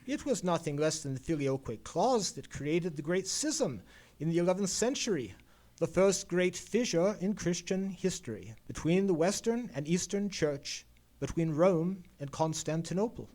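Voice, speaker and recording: A man's voice: high-pitched, animated, normal speed, dark tone. An actor playing an erudite, world-weary male detective in an old-time radio play, with a pedantic and eloquent manner. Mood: lofty, amused. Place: live performance in a theatre.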